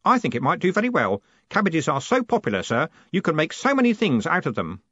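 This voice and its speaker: Man playing an earnest, high-voiced young clerk. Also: wildly swooping pitch, fairly quick, fairly bright tone, husky, dry recording, dull audio, full character voice. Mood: proud. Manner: deferential, earnest, enumerates pedantically. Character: earnest, eager, naive young male clerk. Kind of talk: audiobook character voice.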